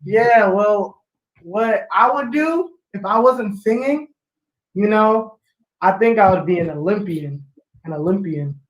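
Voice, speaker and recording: A sixteen-year-old boy, a guest singer, talking in a relaxed, boastful way. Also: sits high, measured pace. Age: teenager. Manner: relaxed, boastful, repeats phrases. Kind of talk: casual interview chat. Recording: roomy echo.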